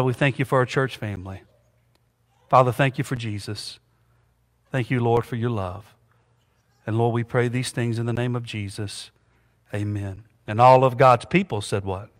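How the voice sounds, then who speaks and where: Man, pastor, high bright voice, call-and-response sermon, long church reverb. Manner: call-and-response preaching, asks congregation "what?" and "amen?". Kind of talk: sermon with prayer. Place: church sanctuary.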